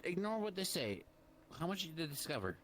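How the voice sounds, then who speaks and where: A young man in casual online roleplay chat, boastful and teasing, talking in a halting, chatty way. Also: a little high, sing-song, fairly bright tone. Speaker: roleplayer, guild staff member. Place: online virtual-reality chat. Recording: faint background noise.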